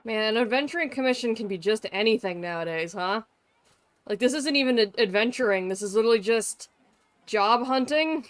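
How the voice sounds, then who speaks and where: A woman's voice: fairly high pitch, brisk, dry recording. A young woman livestreaming with casual, irreverent game commentary, sarcastic and sweary.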